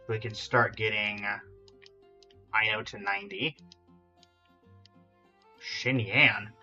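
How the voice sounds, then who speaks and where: A man's voice: on the low side, steady tone, takes it slowly, pushed and bright, dull audio. A man giving casual live game stream commentary, often muttering to himself as he goes. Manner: muttering to himself while troubleshooting. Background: game music.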